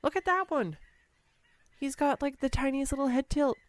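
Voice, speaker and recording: A woman playing a clumsy, excitable young woman on a first date in a roleplay audio, with a bubbly, hesitant, playfully teasing manner. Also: high voice, normal speed, dry recording, close to an everyday voice. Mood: delighted, playful. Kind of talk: scripted girlfriend roleplay audio, intimate one-sided dialogue.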